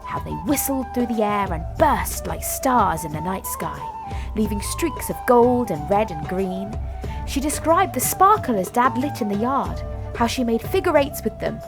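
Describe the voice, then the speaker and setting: A woman reading a children's storybook aloud in an expressive, dramatic way, softening to a hush at times. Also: a little high, a little slow. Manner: animated, dramatic delivery with softened hushed moments. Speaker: storybook reader. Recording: music behind the voice. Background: music and story sound effects.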